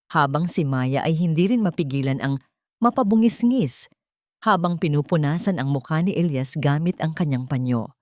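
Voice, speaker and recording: Female narrator for dramatic audio fiction: lower register, solemn and sentimental, dry studio-like sound. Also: a little mellow, no room sound. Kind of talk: scripted dramatic story narration.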